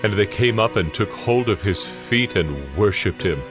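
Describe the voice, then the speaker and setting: A man with a very low voice narrates scripture as a solemn script. His delivery is measured and dramatic, and music plays clearly underneath. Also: expressive.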